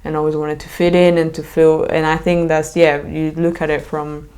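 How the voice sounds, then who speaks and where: A woman's voice: sits low, little pitch movement, moderate pace, a little mellow. A woman from Colombia co-hosting a podcast, talking in a reflective, agreeable way. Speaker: podcast co-host. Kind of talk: casual chat.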